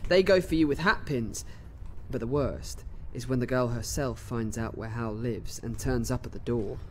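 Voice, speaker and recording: An actor playing an earnest, harried teenage wizard's apprentice in a radio drama. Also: male voice, medium pitch, normal speed, slight background noise, close to an everyday voice. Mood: exasperated.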